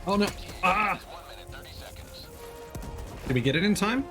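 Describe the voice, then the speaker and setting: A man's voice: high voice, lively intonation, slow, music in the background. A man giving casual live game commentary, thinking aloud and explaining things as he plays. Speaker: streamer, gamer.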